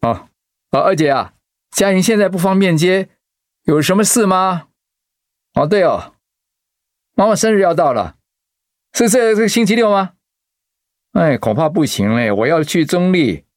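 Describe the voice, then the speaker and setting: A man acting an easygoing, caring middle-aged husband, a chaplain, in an expressive radio drama style. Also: high-pitched, normal speed, pauses often, dry recording, clean studio sound. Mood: flustered. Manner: expressive, conversational.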